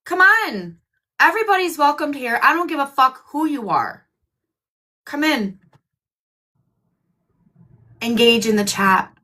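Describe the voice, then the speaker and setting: A woman's voice: high voice, expressive, unhurried, pauses often, pushed and bright. A woman hosting her own livestream, talking bluntly and defiantly with plenty of swearing. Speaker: livestream host. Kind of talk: casual livestream chat.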